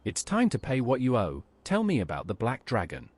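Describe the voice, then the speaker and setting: A woman's voice: extremely low pitch, huge pitch swings, brisk, a little mellow, breathy edge, full character voice. A woman voicing a direct, businesslike assassin recruit in a fantasy game, speaking tersely.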